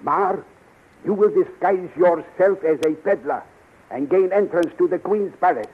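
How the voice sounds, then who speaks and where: High-pitched, husky military commander. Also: male voice, unhurried. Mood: commanding. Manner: decisive, formal. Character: commanding male prince, shrewd, stern military leader. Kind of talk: 1930s radio serial acting. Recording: tinny, narrow 1930s radio recording.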